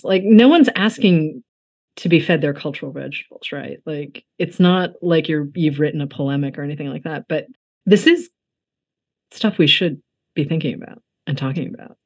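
A woman from the United States hosting a casual book interview, talking informally with animated, self-deprecating asides. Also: deep voice. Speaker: interviewer, host.

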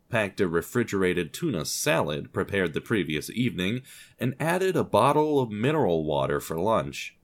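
A man narrating scripted audio fiction in a dramatic storytelling style. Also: fairly low pitch.

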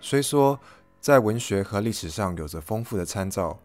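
A man's voice: on the low side, expressive, moderate pace, darkish tone, no room sound, faint music behind. A man hosting an audio log, reading a scripted first-person review as narration. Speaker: podcast host, reviewer.

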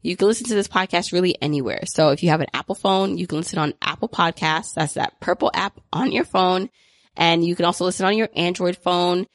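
A woman's voice: lowish voice, fairly quick, no echo, studio quality. A woman in her thirties hosting a podcast, talking in an upbeat, chatty way and often leaning on "like". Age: 30s. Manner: upbeat, chatty, many "like" fillers. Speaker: podcast host. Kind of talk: casual interview and outro.

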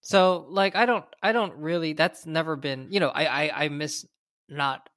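A man with an American accent chatting as a podcast co-host, often halting and rephrasing himself as he goes. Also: a little high, fairly even intonation, fairly bright tone, dry recording, clean studio sound. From United States. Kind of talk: casual conversational discussion.

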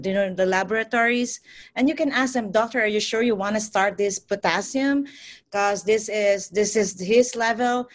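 Woman nurse guest, earnest and halting, mid pitch. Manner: earnest, halting, with many restarts. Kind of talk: casual panel talk.

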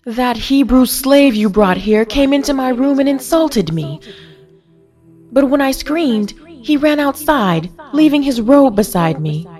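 A woman's voice: high voice, expressive, measured pace, a little mellow, soft background music, voice pushed up. A woman voicing a scheming, indignant officer's wife in a dramatic, accusing manner for an audio Bible story.

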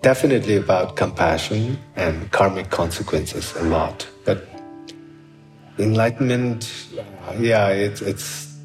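A middle-aged man speaks in a low voice with a Nepali accent. He shares personal reflections slowly and thoughtfully, breaking his sentences into halting phrases, with faint music underneath. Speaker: artist being interviewed.

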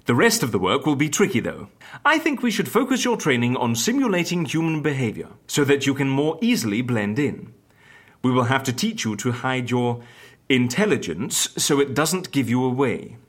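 A man playing a genial, eccentric secret-agency interviewer in an audio drama comedy, polite and theatrical as he pitches his services. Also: very animated, normal speed. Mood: reassuring, eager. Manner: theatrical, polite, hesitant then exclaiming.

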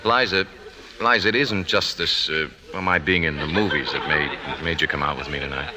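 An actor playing an earnest, bashful movie star in love, stammering boyishly in a 1950s radio drama. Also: male voice, very deep voice, a little fast, crowd noise. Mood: smitten, bashful. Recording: 1950s radio broadcast with loud music.

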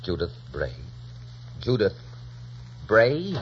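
Imperious pirate captain, swinging pitch, old radio. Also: male voice, huge pitch swings, slow pace, exaggerated voice. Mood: taken aback. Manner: imperious, barking orders. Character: ruthless, vengeful pirate captain, commanding and scornful. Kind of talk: 1940s radio acting. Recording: dull, noisy 1940s radio recording.

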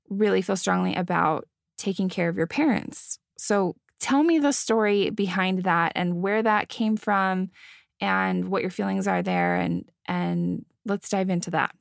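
A woman's voice: mid-range voice, gravelly, no echo, studio quality. A woman hosting a podcast, asking her guest questions in an earnest, encouraging way. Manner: earnest, encouraging, brief affirmations. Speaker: podcast host. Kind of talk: interview questions.

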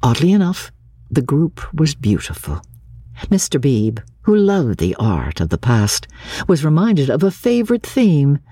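A woman narrates literary fiction in a low, mellow voice. She takes her time and lets the pitch rise and fall dramatically, over soft music. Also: huge pitch swings, unhurried, slightly rough, dry recording, clean studio sound.

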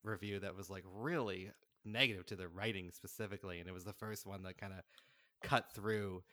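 A man chatting casually as a podcast guest, in a colloquial, encouraging and animated way. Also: low-pitched, brisk, no echo. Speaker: podcast guest, audio drama writer. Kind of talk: casual chat.

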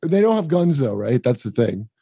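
Man, podcast host, animated intonation, mellow tone, casual joking, rambling, very dry sound. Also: medium pitch, moderate pace, creaky, husky, no room sound.

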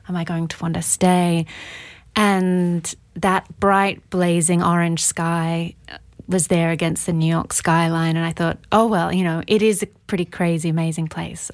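Lowish-voiced woman interviewer, close dry sound. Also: no room sound. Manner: informal, personal, loosely phrased. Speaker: podcast interview host. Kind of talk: casual conversational interviewing.

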